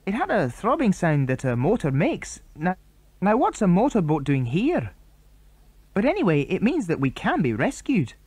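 Man voicing a young boy leader, earnest, eager, lifted pitch, storybook reading. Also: huge pitch swings, moderate pace, darkish tone, breathy edge, dry recording, heavily acted. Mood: puzzled.

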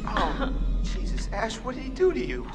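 A man's voice: sits high, huge pitch swings, moderate pace. An actor playing a distraught, protective young man in a horror game, speaking earnestly. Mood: dazed, angry. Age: young adult. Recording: echoey hall, game music behind.

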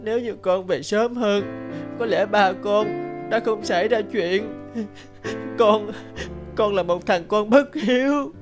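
A man's voice: high-pitched, slow. A man voicing an earnest, guilt-ridden young researcher and devoted son in a cartoon. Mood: grieving. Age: young adult. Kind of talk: cartoon voice acting. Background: music.

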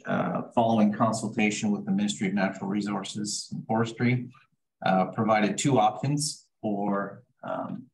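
Brief: canadian municipal officer, lowish voice, formal report reading, roomy audio. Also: male voice, a little hiss or hum. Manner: formal, procedural, reads from a report. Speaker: chief administrative officer presenting reports.

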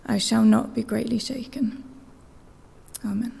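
A young woman reading scripture slowly and in measured tones into a stand mic in a church hall. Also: on the high side, fairly even intonation, vocal fry, mellow, husky. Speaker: scripture reader. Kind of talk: slow liturgical reading. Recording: long hall reverb, faint noise.

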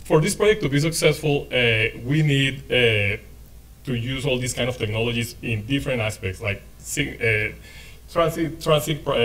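A man's voice: mid-range voice, a bit bright. A man in his forties with a Spanish accent, whose first language is Spanish, presents at a podium in a hotel meeting room. He talks in a friendly, loose way with many fillers. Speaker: transit technology presenter. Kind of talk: conference presentation. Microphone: podium microphone.

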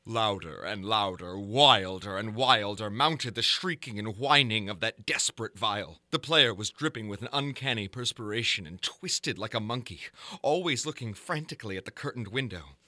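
A man with a fairly low, sharp-edged voice narrates gothic horror as a storyteller. His delivery is theatrical and deliberate, shaped for ornate literary prose. The recording is dry and close, without room sound. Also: dry recording. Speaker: narrator, audiobook reader.

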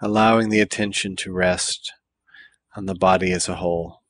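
Low-voiced man guiding meditation. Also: no echo. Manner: calm, measured, deliberate phrasing with pauses. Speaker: meditation guide. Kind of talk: guided body scan meditation.

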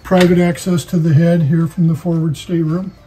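A man's voice: a little high, level tone, brisk, noisy background. Older man giving a boat walkthrough. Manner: matter-of-fact, listing features. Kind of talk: walkthrough tour presentation. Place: inside a boat in an indoor showroom.

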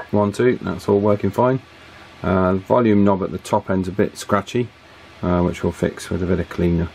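A man giving a hands-on repair tutorial at a workbench in an informal, matter-of-fact way with hesitant pauses. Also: lowish voice, background noise. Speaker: radio repair technician and presenter. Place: indoors at an electronics workbench.

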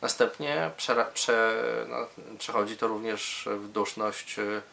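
A man with a lowish, very bright and forceful voice explains things as a medical specialist. His delivery is halting, with repeated words and hesitations as he builds each sentence in an interview setting. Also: creaky.

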